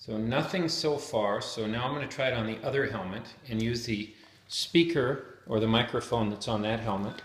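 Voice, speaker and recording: A man's voice: medium pitch, moderate pace. A man giving an unscripted hands-on gear demonstration, explaining things step by step. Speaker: product reviewer demonstrating helmet intercoms. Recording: roomy, echoing sound.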